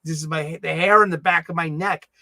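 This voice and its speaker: A middle-aged man with a thick Boston accent hosts a casual livestream chat. His voice sits a little high, and he rambles and mumbles, restarting his sentences. The sound is dry, with no room echo. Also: steady tone, a little fast, vocal fry, pushed and bright. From Boston, USA. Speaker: livestream host.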